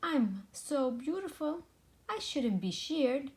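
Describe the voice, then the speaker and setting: A woman's voice: sits high, lively intonation, takes it slowly, a little mellow, voice pushed up. A woman voicing a vain, sneaky male sheep in a storybook, with a self-admiring, sing-song delivery. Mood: vain, delighted. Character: vain, sneaky male sheep proud of his fluffy wool.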